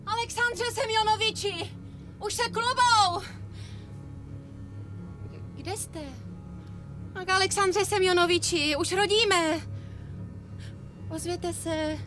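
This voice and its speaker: A woman's voice: very high voice, pauses often, a bit bright, soft background music. An actress playing a frightened, anxious woman calling out in a radio drama.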